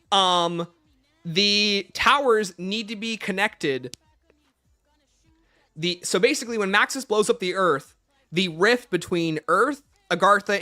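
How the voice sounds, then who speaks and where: A man's voice: high-pitched, animated, moderate pace, sharp tone. A man hosting a casual livestream, chatting informally and playfully as he answers viewer questions. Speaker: livestream host.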